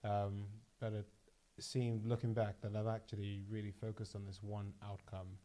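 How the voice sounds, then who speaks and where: A man from Leeds, a founder guest on a podcast, telling his story in a reflective, measured way. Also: fairly low pitch, level tone, dark tone. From Leeds, England. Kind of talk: casual podcast conversation.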